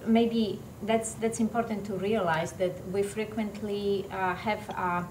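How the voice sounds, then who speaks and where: A woman's voice: mid-range voice, steady tone, a little slow, darkish tone, a little hiss or hum. Hesitant woman doctor, echoey distant mic. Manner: hesitant, many uh fillers, careful phrasing. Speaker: stroke doctor, guest. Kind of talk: interview answers.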